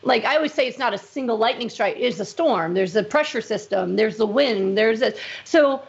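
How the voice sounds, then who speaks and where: A middle-aged woman physician, a guest in a casual interview, talking in quick emphatic fragments with many "like"s and "right?"s. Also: fairly high pitch, animated, gravelly, highs cut off.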